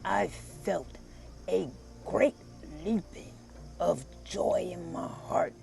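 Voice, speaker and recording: A storybook reader narrating for children in a theatrical, deliberate way with drawn-out phrasing. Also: medium pitch, lively intonation, gravelly, darkish tone, faint music behind. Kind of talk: dramatic children's read-aloud narration.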